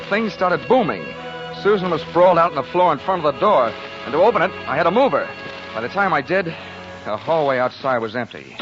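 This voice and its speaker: A man's voice: medium pitch, close to an everyday voice. A man acting a wry, wisecracking insurance investigator in a 1940s radio drama, clipped and hard-boiled. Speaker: lead actor and narrator of a radio detective drama. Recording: muffled 1940s radio recording with music.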